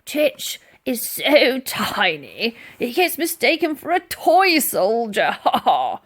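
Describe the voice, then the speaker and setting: Give the storybook an old sergeant major acted out by a woman, pompous and suspicious. He barks and huffs, stretching his words slowly and swooping in pitch with indignation. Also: very animated, exaggerated voice. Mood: mocking. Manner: barking, huffy, pompous, slow and emphatic. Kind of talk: children's storybook character voice.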